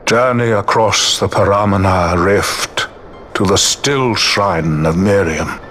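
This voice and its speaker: An actor playing an ancient, serene non-human seer in a fantasy game, speaking solemnly with archaic, oracular phrasing. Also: male voice, on the low side, slow, fairly bright tone, slight background noise. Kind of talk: dramatic video game voice acting.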